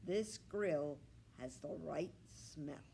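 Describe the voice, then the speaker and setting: A woman's voice: low voice, extremely slow, vocal fry, mellow, a little hiss or hum, exaggerated voice. Woman as a grumpy, indignant little pig. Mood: reluctant, determined. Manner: grumbling, indignant. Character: grumpy, dignified, easily angered small male pig. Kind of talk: children's storybook read-aloud.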